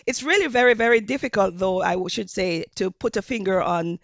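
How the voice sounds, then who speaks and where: A woman from Jamaica with a Jamaican accent, a hospitality professor giving measured interview answers with frequent fillers. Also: mid-range voice, creaky, no room sound, lo-fi sound.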